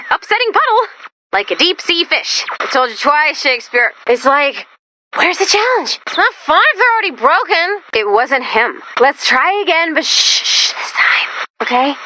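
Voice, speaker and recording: Young female robot helper, very high bright voice, swooping intonation, anxious, chatty, theatrical, video game character. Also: heavily acted, low-quality recording. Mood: wry, needling, then hushed, secretive, then frantic, chatty. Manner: excitable, rambling, theatrical, swinging into hushed asides.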